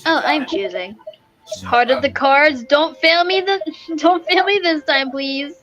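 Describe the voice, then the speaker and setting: A woman's voice: sits high, unhurried, fairly bright tone, soft background music, low-quality recording. A woman streaming a game with casual, exclamatory and dramatic reaction commentary.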